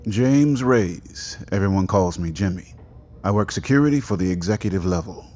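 Deep, mellow, plain-spoken security guard. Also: male voice, animated, normal speed, slight background noise. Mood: matter-of-fact. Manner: brief, matter-of-fact. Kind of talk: audio drama dialogue.

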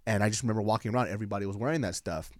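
A man's voice: fairly low pitch, very fast, no echo. A man co-hosting a podcast, telling stories in casual chat, swearing freely and laughing often, on a close studio mic. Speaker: podcast co-host.

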